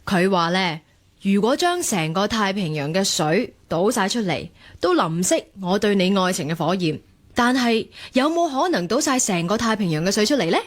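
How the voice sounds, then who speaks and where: A woman's voice: mid-range voice, lively intonation, normal speed, natural voice. An actress playing a playful, romantic young woman in a Cantonese radio drama, with a Hong Kong accent. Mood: excited, amused. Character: playful, romantic, impulsive young woman smitten with online stranger.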